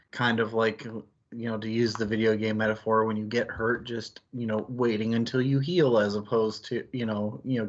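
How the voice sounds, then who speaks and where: Guy chatting about books, kinda rambly and analytical, slightly muffled lo-fi sound. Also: fairly low pitch. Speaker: book club stream host. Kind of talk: casual book discussion.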